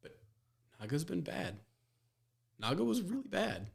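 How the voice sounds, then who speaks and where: A man's voice: sits high, very animated, measured pace, creaky, breathy edge. A man co-hosting a sports podcast, chatting casually. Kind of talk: casual chat. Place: recorded in person in a shared room.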